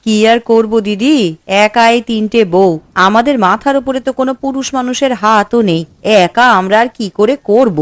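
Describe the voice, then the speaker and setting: Woman playing a high-voiced village housewife. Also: normal speed, dry recording, natural voice. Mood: downcast. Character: poor, worried village housewife who cooks. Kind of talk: cartoon voice acting. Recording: music behind the voice.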